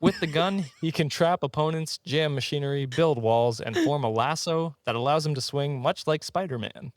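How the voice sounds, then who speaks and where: A man's voice: on the high side, normal speed, no echo, clean studio sound. A man hosting a comedy podcast, telling stories informally with amused, jokey asides. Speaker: podcast host. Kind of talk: casual comedic podcast storytelling.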